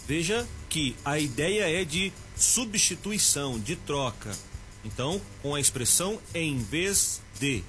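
A man with a Minas Gerais accent giving a Portuguese tip on the radio, slowing down to stress each example. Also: fairly high pitch, animated, fairly bright tone, soft background music. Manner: didactic, slows down to stress examples. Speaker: Portuguese teacher.

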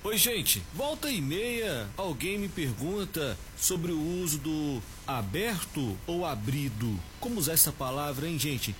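A man's voice: on the high side, very animated, a little slow, over music. Man explaining grammar on radio. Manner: explanatory, addresses listeners as "gente". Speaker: Portuguese language teacher. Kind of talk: radio grammar tip segment.

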